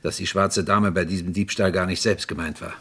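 An actor playing a courteous but insistent police inspector in a vintage German radio crime drama, measured and probing. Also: male voice, low-pitched, steady tone, a bit bright, husky, full character voice.

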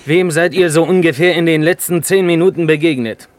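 Night-beat policeman played by a man, fairly high voice, curt, dismissive, bossy; vintage radio play. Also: brisk, no room sound, close to an everyday voice. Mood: brusque, suspicious. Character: brusque, domineering police night patrolman. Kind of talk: 1950s German radio drama acting.

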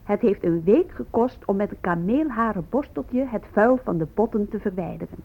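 A woman's voice: mid-range voice, lively intonation, moderate pace. A woman playing a detached reporter of academic findings in a radio play, reading a scripted report. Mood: factual. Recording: muffled, narrow radio play recording.

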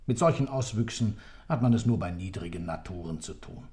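An actor playing a sober, self-justifying executioner in a German radio drama, speaking formally, precisely and haughtily. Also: male voice, on the low side, animated, a little fast, darkish tone, lightly acted. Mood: cold, self-justifying.

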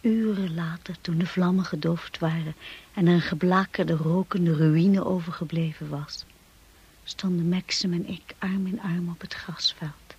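An actress playing a devoted, wistful young wife in a radio drama, speaking in a measured and solemn way. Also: female voice, on the low side, fairly even intonation, a little mellow, slightly rough, muffled recording, full character voice. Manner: slow, measured, solemn delivery. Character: Mrs de Winter, devoted young wife looking back wistfully. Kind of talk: radio drama acting and narration.

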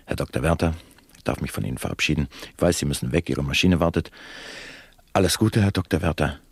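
An actor playing a slick, unctuous talk show host in a satirical radio play, flattering and theatrically solemn. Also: male voice, very deep voice, quick pace, slightly rough, no echo, clean studio sound, heavily acted. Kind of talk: satirical radio play acting as broadcast presenter.

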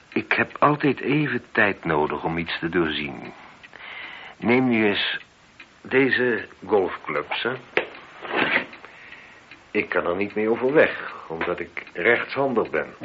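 Casting: methodical police inspector for radio drama, low voice, probing and courteous; muffled, noisy old recording. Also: male voice. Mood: calm, knowing. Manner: measured, probing, politely insistent. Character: shrewd, methodical male chief police inspector confronting a suspect. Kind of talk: radio play dialogue.

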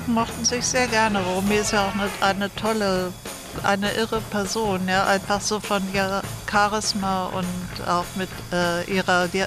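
Enthusiastic mid-pitched woman. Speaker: interviewee. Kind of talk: casual, unscripted recollection.

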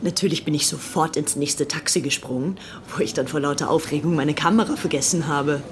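An actress as an infatuated young upper-class English woman in a radio drama. She confides in a gushing, breathless way, in a slightly low female voice. There is faint noise behind her. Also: brisk, natural voice. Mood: excited, gushing. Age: young adult. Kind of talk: radio play acting, diary-like confiding.

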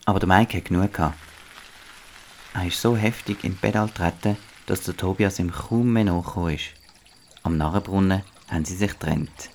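A man narrates a scripted story for children in a deep voice. He speaks with a Swiss German accent, as the storyteller of an audio play. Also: fairly quick, darkish tone, slight background noise.

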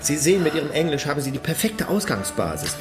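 A pedantic language enthusiast in a radio play, coaxing and eager. His voice swings lively up and down at a middle pitch. Soft music sits faintly behind him. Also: fast talker.

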